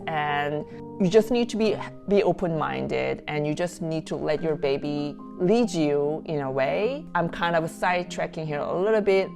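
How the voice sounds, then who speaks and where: A young woman, a Korean native speaker with a Korean accent, chatting candidly to camera about her baby's sleep and sharing parenting tips. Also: low-pitched. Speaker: parenting vlogger. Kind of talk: casual talk to camera.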